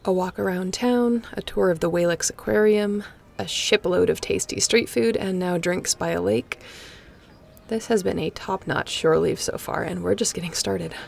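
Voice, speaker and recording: A woman's voice: lowish voice, normal speed, vocal fry, darkish tone, slight background noise. A woman playing a guarded, wry starship captain and ace pilot in an intimate audio roleplay, with a sardonic, conversational way of talking. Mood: relaxed, teasing. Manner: sardonic, conversational, sighing and teasing.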